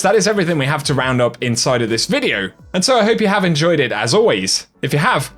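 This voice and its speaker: A man's voice: mid-range voice, fairly bright tone. A man hosting a gaming news video, delivering scripted narration. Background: background music.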